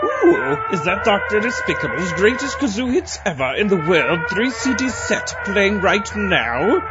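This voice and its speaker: An actor playing a fawning, excited fan who gushes in a parody commercial. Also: mid-range voice, measured pace. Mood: gushing. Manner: gushing, exaggerated. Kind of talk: parody advert acting. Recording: dull lo-fi audio, loud music.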